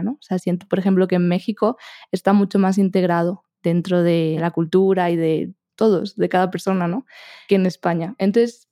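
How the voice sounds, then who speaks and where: A young woman with a Spanish accent talks through an interview in a mellow, warm tone. She is soft-spoken, laughs easily and keeps tagging her thoughts with little questions. The sound is close and dry. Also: mid-range voice, no echo. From Spain. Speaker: podcast guest, meditation podcaster. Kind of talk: casual interview chat.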